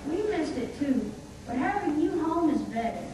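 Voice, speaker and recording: A woman's voice: high-pitched, expressive, moderate pace. A woman voicing a wide-eyed, imaginative young frontier boy in a scripted puppet show, earnest and naive. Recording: echoey room from far, noisy.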